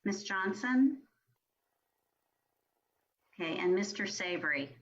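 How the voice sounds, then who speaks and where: A woman's voice: mid-range voice, animated, slow, dull audio. A woman working as a staff member, calling a formal roll at a meeting.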